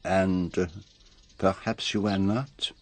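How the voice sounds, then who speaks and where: An actor playing a shrewd, vain and courteous foreign detective in a radio mystery, speaking formally and deliberately with pointed questions. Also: male voice, deep voice, little pitch movement. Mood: sceptical. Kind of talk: British radio mystery drama acting.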